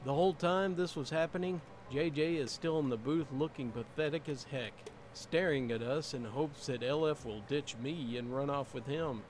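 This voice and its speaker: A man's voice: a little high, normal speed, darkish tone, a little hiss or hum. A man narrating online stories in a casual first-person style, in a video played with music underneath.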